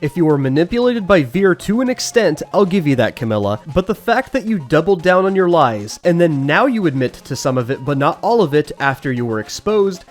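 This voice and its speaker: A man's voice: a little high, sing-song, a little fast, few pauses, faint music behind. A man hosting a scripted commentary video in a blunt, critical manner.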